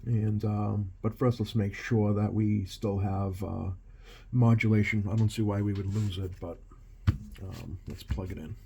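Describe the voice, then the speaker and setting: A man giving casual, halting hands-on repair commentary, thinking aloud as he works at a bench. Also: on the low side, steady tone, creaky, background noise. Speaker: radio repair technician, video host. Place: small indoor workshop at an electronics bench.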